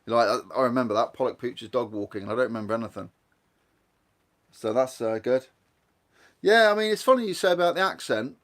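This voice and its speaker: A man's voice: mid-range voice, expressive, brisk, pauses often, fairly bright tone. Chatty man with a Guernsey accent. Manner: rambling, chatty, reads viewer comments aloud. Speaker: livestream host. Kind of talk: casual live-stream chat.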